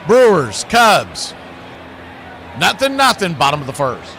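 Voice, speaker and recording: Male play-by-play caller, folksy and fond of nicknames, fairly high voice, noisy ambient backdrop. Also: huge pitch swings, unhurried, bright, forceful tone. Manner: folksy, uses nicknames and catchphrases. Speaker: baseball play-by-play announcer. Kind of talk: live sports commentary.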